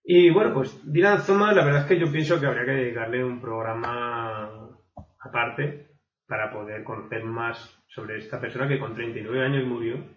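A man's voice: mid-range voice, echoey room, recorded from a distance, lo-fi sound. A man in casual radio chat who hesitates a lot and uses many fillers. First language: Spanish. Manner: halting speech full of fillers, recites slowly and with emphasis. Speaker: guest poet. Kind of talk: radio chat and poetry recital.

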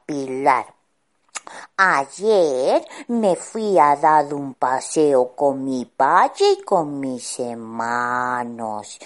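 A woman doing Lío, a chatty little Spanish boy. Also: mid-range voice. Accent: Spain. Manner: rambling, retelling dialogue with lively repeats. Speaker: regular children's figure on a radio show. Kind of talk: children's radio storytelling chat.

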